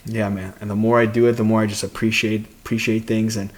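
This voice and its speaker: A young man with a slightly low voice, talking loose and unhurried. He rambles through casual podcast chat, peppering everything with slang and swear words. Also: little pitch movement. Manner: laid-back, heavy slang and swearing, rambling. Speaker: podcast co-host, fighter.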